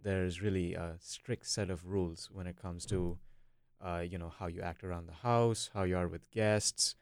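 This is a young man from India with a deep voice and a smooth, clear tone. He is a student guest on a podcast, talking casually in a dry recording space. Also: fairly even intonation, normal speed, dry recording. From Bangalore, India. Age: late teens. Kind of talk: casual interview talk.

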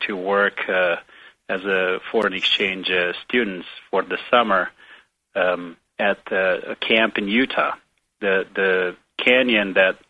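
A man's voice: low voice, no echo. A middle-aged man from Romania with a Romanian accent, speaking as an interview guest, hesitant with many fillers and restarts. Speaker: guest, foundation president. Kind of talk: casual interview conversation. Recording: tinny phone line, dry.

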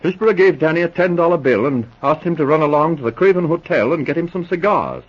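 An actor playing an eager, excitable police officer giving urgent reports in a 1940s radio drama. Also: male voice, on the high side, fast talker, slightly rough. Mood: earnest reporting. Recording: muffled old radio recording.

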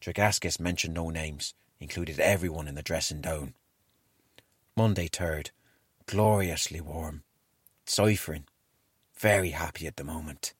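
A man's voice: rumbling low, unhurried, pauses often, slightly rough, no echo, clean studio sound, exaggerated voice. A man playing an earnest wartime army cipher clerk, reading his diary in clipped, matter-of-fact phrases. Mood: content. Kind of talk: dramatic reading of a 1940s diary.

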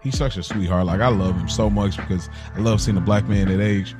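A man's voice: fairly low pitch, a little fast, over music. A man co-hosting a podcast, chatting casually and earnestly, repeating phrases for emphasis. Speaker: podcast co-host. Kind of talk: casual conversation.

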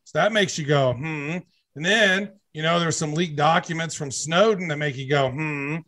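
A man's voice: fairly high pitch, normal speed, bright, forceful tone. A man in a casual podcast conversation, telling things in an eager, storytelling way.

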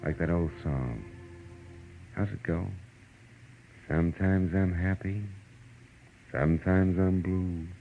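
A very low-voiced private eye, hard-boiled, world-weary and wry. He muses in old radio-drama style. The sound is a dull vintage broadcast with music clearly behind it. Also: fairly even intonation, slow, pauses often. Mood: wistful musing. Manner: wry, musing, colloquial. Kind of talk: 1940s radio drama acting, first-person narration.

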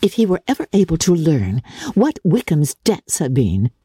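A woman voicing a spirited, earnest young gentlewoman in a dramatic reading of a classic novel, with emphatic, formal period speech. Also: sits low, wildly swooping pitch, moderate pace, breathy edge, no room sound, pitched above the performer's own voice, full character voice.

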